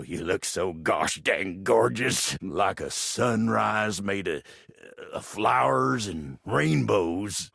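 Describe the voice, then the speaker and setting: Game romance role: bashful, courteous cowboy, deep husky voice, Western American drawl, folksy and lovestruck. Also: male voice, fairly bright tone, no room sound, studio quality, exaggerated voice. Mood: earnest, lovestruck. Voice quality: gravelly, husky. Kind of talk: video game character voice acting.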